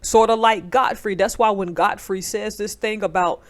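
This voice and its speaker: A woman's voice: medium pitch, steady tone, moderate pace. A woman, giving a casual, emphatic commentary monologue. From North Alabama, United States. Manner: emphatic, conversational, digressive. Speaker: commentator, military veteran.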